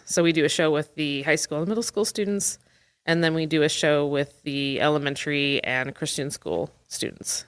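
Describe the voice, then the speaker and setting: Lower-pitched woman explaining in an interview. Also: a bit bright. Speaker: arts center representative, interview guest.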